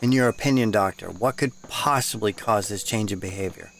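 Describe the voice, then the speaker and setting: An actor playing a polite, inquisitive geologist asking questions. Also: male voice, on the low side, fairly quick, gravelly, fairly bright tone, slight background noise. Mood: earnest.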